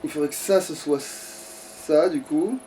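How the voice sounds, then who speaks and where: A man's voice: fairly high pitch, takes it slowly, dark tone. A man giving casual let's play commentary, thinking aloud in a grumbling, foul-mouthed way. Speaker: gaming streamer. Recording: distant mic with background noise.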